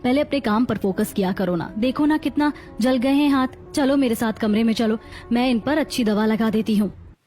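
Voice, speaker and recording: Caring working sister-in-law for a family audio drama, female actor: highish voice, protective, gentle advice. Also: moderate pace, soft background music, close to an everyday voice. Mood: concerned. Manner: concerned, gently advising. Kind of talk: dramatized moral story.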